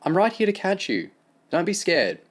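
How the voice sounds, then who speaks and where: A man voicing a kind, patient young female swimming teacher who talks gently and reassuringly. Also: medium pitch, sing-song, normal speed, pitched above the performer's own voice. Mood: encouraging.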